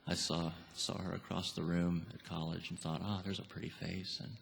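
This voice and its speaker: A man with a low, creaky voice chats as a musician guest. His delivery is casual and wry, and the long reverb of a hall surrounds him. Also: little pitch movement, normal speed, fairly bright tone. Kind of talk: casual interview chat. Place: theatre stage.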